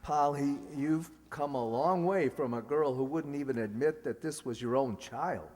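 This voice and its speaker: A man's voice: medium pitch, moderate pace, a little mellow, lightly acted. A man playing an eager, easily flustered young suitor in a radio comedy, hesitant and earnest. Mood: teasing, doubtful. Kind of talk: stage-style radio comedy acting. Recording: reverberant hall stage recording.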